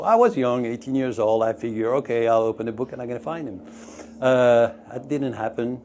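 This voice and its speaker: A man's voice: on the low side, dark tone, a little hiss or hum. A middle-aged man from Belgium, a museum founder eagerly telling his story in slightly broken English during an interview. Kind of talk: on-location interview. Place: museum, indoors.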